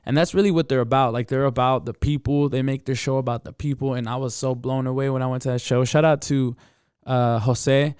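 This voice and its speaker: A man's voice: medium pitch, steady tone, a little fast, darkish tone, clean tone, no echo, studio quality. A young man from the United States chatting casually as an interview guest, laid-back and slangy, dropping "bro" into his sentences.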